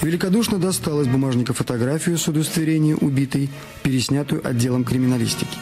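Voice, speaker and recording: A man's voice: mid-range voice, fast talker, a little mellow. A man reading scripted, dramatised narration for a detective audio drama. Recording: music behind the voice. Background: music.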